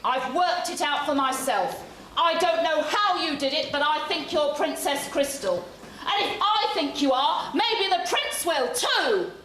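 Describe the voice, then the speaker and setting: Woman doing a haughty evil stepmother, panto style, shrill high pitch, drawn out lines, echoey. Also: expressive, a little slow, a bit bright. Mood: accusing, sarcastic. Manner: imperious, sarcastic, theatrical. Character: haughty, scheming wicked stepmother. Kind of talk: amateur pantomime stage acting. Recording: echoey hall, faint noise.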